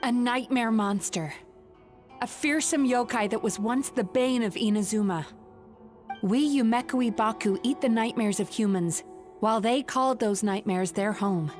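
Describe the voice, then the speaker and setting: A woman playing a composed, earnest dream-spirit therapist in a video game, measured and articulate as she explains. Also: a little high, darkish tone, natural voice. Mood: grave. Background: game music.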